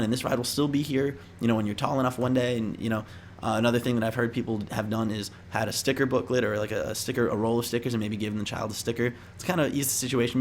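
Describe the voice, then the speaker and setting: Young guy vlogging, mid pitch voice, rambly and slangy, noticeable background noise. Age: young adult. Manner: informal, rambling, slangy delivery. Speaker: vlogger giving interview tips. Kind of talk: casual chat to camera.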